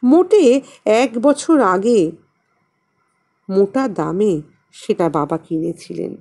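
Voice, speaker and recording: A woman narrating a literary story expressively, in a measured way with dramatic pauses. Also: a little high, darkish tone. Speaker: audiobook narrator and channel host.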